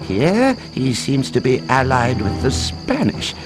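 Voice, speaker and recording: An actor playing a mild, learned professor who explains ancient lore with hesitant little chuckles in a game cutscene. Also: male voice, medium pitch, wildly swooping pitch, slow pace, over music. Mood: storytelling, intrigued. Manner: expository storytelling with hesitant chuckles. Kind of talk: scripted video game cutscene acting.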